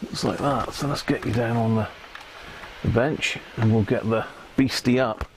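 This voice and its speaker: A man's voice: mid-range voice, fairly even intonation, normal speed, slight background noise, rough recording. A British man hosting a livestream, chatting casually and colloquially as he thinks aloud. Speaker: livestream host. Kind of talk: casual rambling chat to live viewers.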